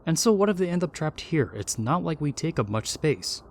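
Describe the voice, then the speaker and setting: A man's voice: fairly high pitch, sing-song, normal speed, slight background noise. A man playing a jaded, indifferent, snobbish ghost in a comedic audio roleplay, dry, aloof and sardonic. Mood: bored, sardonic.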